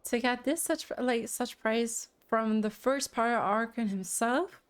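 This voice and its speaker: A woman's voice: fairly high pitch, measured pace. A woman giving casual reaction commentary on a game, in brief musing asides.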